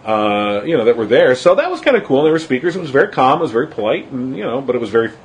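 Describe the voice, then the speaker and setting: A man's voice: mid-range voice, big pitch swings, racing. A man co-hosting a casual political podcast, talking with many fillers and hesitations. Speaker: podcast co-host.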